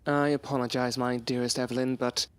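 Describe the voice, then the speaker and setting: Man acting a proud, formal gentleman scientist. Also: mid-range voice, level tone, fairly quick, smooth, no room sound, lightly acted. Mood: determined. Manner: formal, articulate, theatrical. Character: proud, defiant, loyal male scientist, courteous but bitter. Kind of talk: period audio drama acting.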